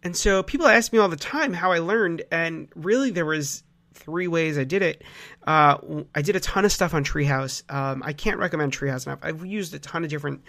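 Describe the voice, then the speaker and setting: A man's voice: a little high, a little fast, creaky. Nasal young man with a cold. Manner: relaxed, rambling, many fillers and restarts. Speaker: podcast host. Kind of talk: casual solo podcast talk.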